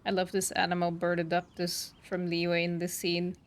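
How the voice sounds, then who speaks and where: A woman's voice: on the low side, completely flat delivery, normal speed, slight background noise. A woman streamer giving casual, enthusiastic reaction commentary as she plays a game. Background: game music.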